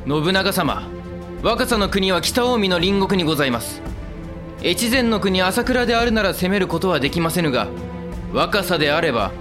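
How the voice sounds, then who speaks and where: High-pitched, earnest young samurai lord. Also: male voice, animated, moderate pace, music in the background. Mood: respectful, insistent. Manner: formal, deferential warrior speech. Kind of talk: period audio drama acting.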